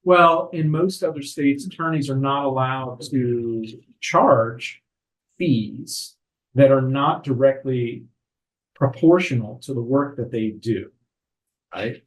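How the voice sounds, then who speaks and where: A man, an attorney, explaining legal matters in a calm, measured way during a podcast conversation. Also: medium pitch, low-quality recording. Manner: measured, explanatory, frequent "right?" tags. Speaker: estate attorney.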